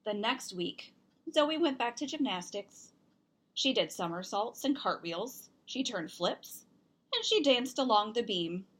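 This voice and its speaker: A woman reading a children's picture book aloud, expressively and deliberately, with emphasized words. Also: a little high, lively intonation, fairly bright tone. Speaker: storybook reader and host.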